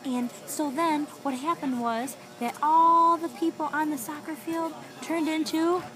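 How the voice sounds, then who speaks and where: A woman improvising a children's story with a young child, animated and encouraging, often echoing and asking questions. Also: sits high, measured pace.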